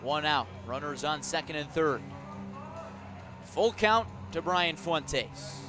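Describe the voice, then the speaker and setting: A man's voice: on the high side, animated. Man calling baseball on radio, music behind. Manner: measured call that rises sharply on big plays. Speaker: radio play-by-play announcer. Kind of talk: live baseball radio commentary.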